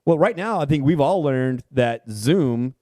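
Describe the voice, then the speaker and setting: A man in his forties co-hosting a podcast, talking quickly with jokes and swearing. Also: medium pitch, animated, darkish tone. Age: 40s. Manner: quick, joking, swears freely. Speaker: podcast co-host. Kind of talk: casual chat.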